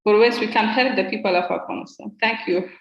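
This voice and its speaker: A woman parliamentarian speaking halting, non-native English at a roundtable, with many pauses and fillers. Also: mid-range voice, steady tone, reverberant, lo-fi sound. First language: not English. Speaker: Afghan parliamentarian in exile. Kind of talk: roundtable remarks.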